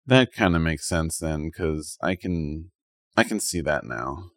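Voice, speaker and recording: Low-voiced man chatting, hesitant and rambling. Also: expressive, takes it slowly, a bit bright, no room sound, clean studio sound. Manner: hesitant, rambling, thinking aloud. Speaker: podcast co-host. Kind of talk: casual chat, reviewing anime.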